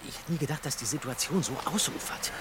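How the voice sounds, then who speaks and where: An actor playing an excitable, curious teenage boy detective, expressive and inquisitive, in an audio drama. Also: fairly high pitch, fast talker, close to an everyday voice. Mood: puzzled. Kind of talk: German audio drama acting. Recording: loud ambience noise behind dialogue.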